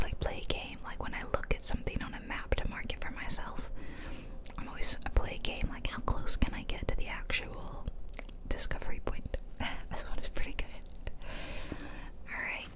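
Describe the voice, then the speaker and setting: A woman whispering casual, playful game commentary in a breathy ASMR style, close to the microphone. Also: moderate pace. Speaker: gaming video host. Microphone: close ear-to-ear ASMR microphone.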